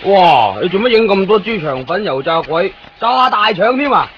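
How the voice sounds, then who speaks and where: An actor playing a chatty, caring shopkeeper in a radio drama, fussing, with a Hong Kong Cantonese accent. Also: male voice, sits high, very animated, normal speed. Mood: exclaiming. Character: nosy, caring male shopkeeper neighbour, chatty and exclaiming. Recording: muffled lo-fi radio broadcast, faint noise.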